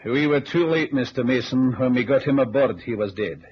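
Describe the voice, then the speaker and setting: A man's voice: medium pitch, normal speed, lightly acted. An actor playing an earnest Scottish ex-navy businessman in an old-time radio drama, on a muffled recording with hiss. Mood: grave, insistent. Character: earnest Scottish ex-navy businessman recounting deadly lake dives.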